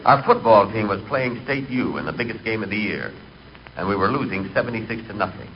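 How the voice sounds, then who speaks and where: A man's voice: fairly low pitch, lively intonation, brisk, over a noisy crowd, close to an everyday voice. A man acting a timid, neurotic and comically vain storyteller in 1940s radio comedy. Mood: deadpan, confiding. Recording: muffled, hissy 1940s radio recording.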